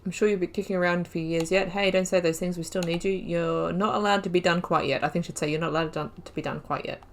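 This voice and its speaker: A woman's voice: lowish voice, steady tone, quick pace, a little hiss or hum. A woman giving casual game commentary, thinking aloud with lots of fillers as she picks dialogue options. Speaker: gaming YouTuber. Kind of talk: casual let's play commentary.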